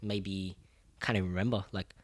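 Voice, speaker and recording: A man's voice: deep voice, fairly even intonation, normal speed. A young man with an Australian accent in casual podcast chat, swearing freely and acting out quotes as he retells things. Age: young adult. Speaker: podcast co-host.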